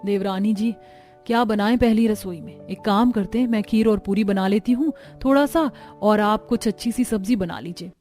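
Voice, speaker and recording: A woman's voice: medium pitch, normal speed, a little mellow, faint music behind, lightly acted. A woman voicing a put-upon young daughter-in-law in a cartoon family drama, plaintive and grumbling. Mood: chatty.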